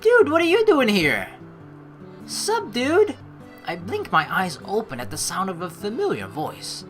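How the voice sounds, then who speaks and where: A woman hosting a let's play, reading game narration aloud and adding casual, expressive, joking commentary. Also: mid-range voice, measured pace. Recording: soft game music behind. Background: game music.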